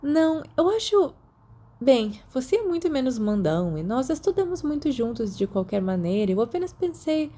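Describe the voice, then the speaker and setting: A teenage schoolgirl, voiced by a woman narrator in an audiobook story. She is friendly and sensible but shy, speaking in a hushed, hesitant way with a mellow tone. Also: high voice, big pitch swings, moderate pace, voice pushed up.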